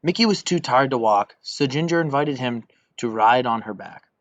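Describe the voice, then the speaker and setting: Low-voiced young man reading a children's story. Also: animated, moderate pace, no room sound. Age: young adult. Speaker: volunteer storybook reader. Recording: dull lo-fi recording.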